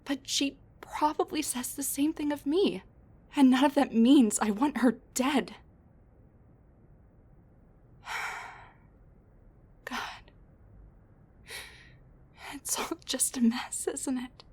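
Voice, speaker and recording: A woman playing a sassy, rambling wounded supervillain with a soft side, talking intimately to the listener in a roleplay audio drama, with breathy asides and sighs. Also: high-pitched, steady tone, takes it slowly, pauses often. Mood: distressed venting, then weary, wry.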